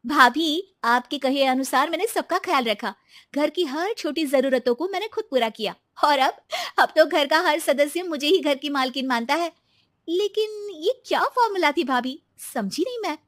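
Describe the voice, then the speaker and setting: Moral-story young wife, played by a woman: high-voiced, polite, earnest and dutiful, slightly pleading. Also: expressive, normal speed, fairly bright tone, no echo, clean studio sound. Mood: puzzled. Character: Mansi, earnest dutiful young daughter-in-law. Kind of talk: animated Hindi moral story dialogue.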